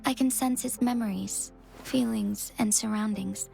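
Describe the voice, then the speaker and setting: A woman's voice: a little high, steady tone, unhurried, creaky, warm tone, faint music behind. An actress playing a composed, gracious young female magistrate in a video game, speaking politely and formally. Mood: solemn, measured.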